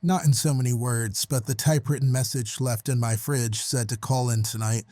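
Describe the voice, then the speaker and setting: Male night-shift janitor voiced by an actor, relaxed warm tone, mid pitch, wry and matter-of-fact storytelling for audio horror. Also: moderate pace, dry recording, studio quality, close to an everyday voice. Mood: eager, cagey. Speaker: caller.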